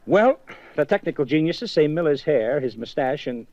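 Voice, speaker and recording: An actor playing a wry, bantering detective in a 1950s radio drama. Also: male voice, a little high, expressive, normal speed, a little mellow, no room sound, lightly acted. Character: wry male detective sergeant, teasing. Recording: dry, dull old radio broadcast.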